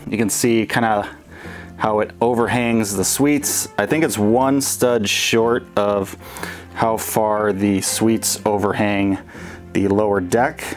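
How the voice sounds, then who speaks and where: A young man with a fairly low voice talks loosely and off the cuff, trailing into fillers and restarts with the odd little laugh. A faint music bed plays softly beneath him. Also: slow. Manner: relaxed and rambling, many fillers and restarts, small chuckles. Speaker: LEGO builder showing his model stadium. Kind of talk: casual off-the-cuff build update. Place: indoor room with the model, wood-paneled walls.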